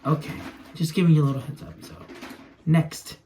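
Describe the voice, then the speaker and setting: A man's voice: fairly high pitch, fairly even intonation, brisk, mellow. A young adult man talking casually through a hands-on demonstration for a home vlog. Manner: narrates own actions while handling toys. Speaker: toy video creator. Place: small room at home. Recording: noisy home recording with clicks.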